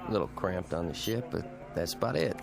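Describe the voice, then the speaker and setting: An actor playing a respectful, eager flight control technician in a radio drama, speaking in crisp military call-outs. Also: male voice, deep voice, lively intonation, a little fast, music in the background. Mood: upbeat, confident.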